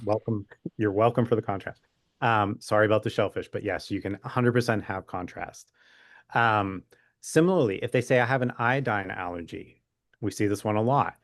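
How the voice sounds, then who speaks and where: A man's voice: fairly low pitch, moderate pace, dry recording, studio quality. A man speaking as a physician guest expert in an interview, explaining in an informal, conversational way with wry humor.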